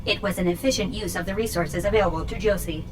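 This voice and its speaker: A woman's voice: lowish voice, quick pace, music in the background, lightly acted. A woman voicing a polite, literal-minded servitor robot, formal, precise and emotionless, in an audio drama. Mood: formal, even.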